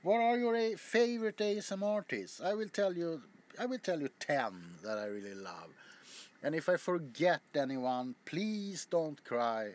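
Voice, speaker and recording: A man's voice: on the high side, lively intonation, normal speed, darkish tone. A man chatting casually to his viewers as a video host, rambling with frequent stammers, restarts and casual swearing. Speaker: ASMR YouTuber.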